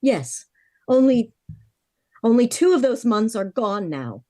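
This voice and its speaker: Woman acting as earnest young heiress, soft mellow voice. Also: a little high, very animated, slow, no echo, clean studio sound. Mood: grave, worried. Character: worried, frank young heiress of twenty-four. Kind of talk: vintage radio drama acting.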